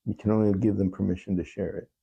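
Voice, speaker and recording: A man speaking as an interview guest, telling personal stories in a reflective, halting and earnest way. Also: sits low, flat delivery, creaky, mellow, breathy edge, muffled recording. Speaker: talk show guest, songwriter.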